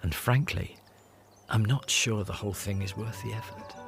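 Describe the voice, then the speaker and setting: An actor with a Northern Irish accent playing Judas, a teasing, sardonic disciple who cares most about money, in a radio drama. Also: male voice, on the low side, normal speed, vocal fry, music in the background. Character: Judas, scheming money-minded male disciple.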